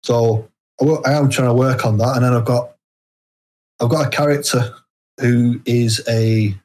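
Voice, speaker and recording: A British man talking casually as an interview guest, hesitant and low-key as he pieces his thoughts together. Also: steady tone, moderate pace, a little mellow. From United Kingdom. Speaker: interview guest, indie horror author.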